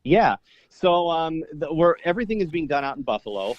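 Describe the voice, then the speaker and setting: A man's voice: a little high, big pitch swings, fairly quick, no room sound, muffled recording. A young man whose first language is Polish, a priest and polka singer, talking casually as an interview guest with lots of fillers. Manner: easygoing, rambling, many fillers and restarts.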